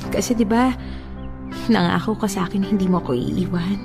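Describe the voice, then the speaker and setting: A woman's voice: mid-range voice, normal speed, creaky, lightly acted. An actress playing a tender, grieving young woman in a Filipino radio romance drama, speaking emotionally with a Filipino accent over music. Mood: grieving, pleading. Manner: emotional, heartfelt delivery. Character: grieving young woman, tender, torn about loving again. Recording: music bed under the voice.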